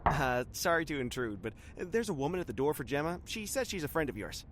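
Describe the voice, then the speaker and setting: A man's voice: fairly high pitch, very animated, a little fast, a bit bright. Guy doing a shy polite roommate, awkward, voice goes up n down, noisy, audio comedy. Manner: hesitant, awkward. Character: timid, polite human male roommate. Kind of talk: comedy audio drama acting.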